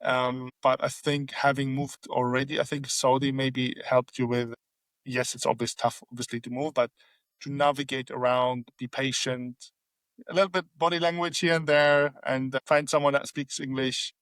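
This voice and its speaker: A man's voice: medium pitch, little pitch movement, moderate pace, a bit bright, no echo, poor audio. A man hosting a podcast, asking questions casually with many fillers and restarts. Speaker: podcast host. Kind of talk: casual interview talk.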